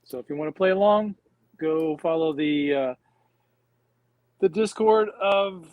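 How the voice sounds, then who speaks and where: A man's voice: sits high, a little slow, darkish tone, no room sound. A man co-hosting a casual live chat, rambling through explanations with many fillers. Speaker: regular co-host.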